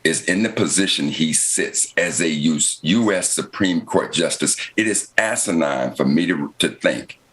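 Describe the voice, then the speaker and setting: An American man moderating an unscripted political panel, speaking in an emphatic, deliberate, oratorical way and repeating phrases as he builds a point. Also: very deep voice, lively intonation, fairly bright tone.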